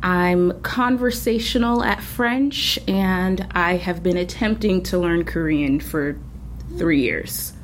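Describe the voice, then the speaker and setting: A woman's voice: lowish voice, slow, a little hiss or hum. A woman whose first language is Haitian Creole, moderating a library staff panel and putting questions to the group. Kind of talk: panel discussion.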